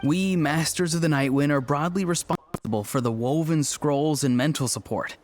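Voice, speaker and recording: A man's voice: on the high side, moderate pace, lightly acted. An actor playing a polite, earnest, socially awkward young man in an anime game, with a literal delivery. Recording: game music behind.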